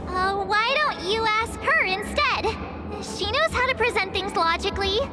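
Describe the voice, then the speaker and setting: Perky teenage girl, sky-high pitch, flustered, chatty, game dialogue, loud background music. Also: unhurried. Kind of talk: video game story dialogue.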